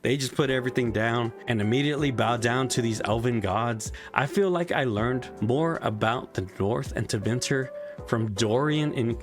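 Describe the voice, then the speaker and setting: A young man giving a casual spoken game review, frustrated and profane, with many "like" fillers. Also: medium pitch.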